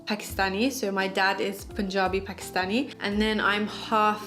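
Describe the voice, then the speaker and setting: A woman's voice: mid-range voice, level tone, moderate pace, a bit bright, soft background music. A British woman in her twenties, a social media marketer, answering viewer questions in a chatty, upbeat vlog. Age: 20s. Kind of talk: casual Q&A vlog.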